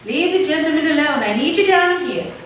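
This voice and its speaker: An actress playing a bossy Victorian landlady in a staged reading, calling out in a sharp, commanding manner. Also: high voice, animated, brisk. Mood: scolding. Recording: echoey, distant, faint noise.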